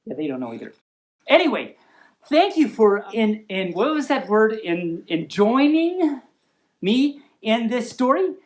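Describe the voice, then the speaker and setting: A woman's voice: fairly high pitch, big pitch swings, a little slow. A woman hosting a storytime, reading aloud in a playful, mock-serious manner with chatty asides and stumbles over long words. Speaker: storytime show host.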